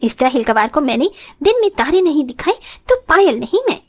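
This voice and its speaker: A woman's voice: sits high, sing-song, a little fast. A woman voicing a haughty, spoiled young working daughter-in-law in a moral story cartoon, scornful and dropping English phrases into her Hindi.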